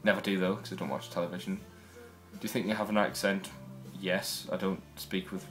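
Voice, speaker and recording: Brief: young Geordie man, low-pitched voice, casual wry vlogger, faint music underneath. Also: level tone, normal speed, a bit bright, low-quality recording. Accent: Newcastle Geordie. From North East England. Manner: chatty, wry, rambling asides. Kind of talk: casual first video blog.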